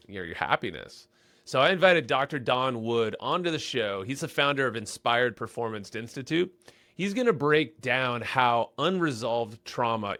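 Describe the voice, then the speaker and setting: A man's voice: medium pitch, a bit bright, dry recording. A man hosting a podcast interview, upbeat and chatty, often repeating words as he starts sentences. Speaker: podcast host. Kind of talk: casual podcast interview with ad read.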